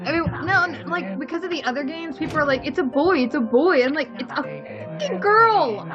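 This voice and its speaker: A fairly high-pitched woman gives casual let's play commentary. She is blunt and opinionated and swears freely. Her mic sounds dull and lo-fi, with game music clearly audible behind her. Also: sing-song, fairly quick.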